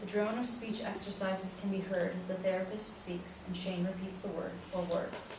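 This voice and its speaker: A woman's voice: medium pitch, flat delivery, moderate pace. Woman narrator in a very echoey room. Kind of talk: scripted narration of stage directions. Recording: very echoey room, distant mic, noisy.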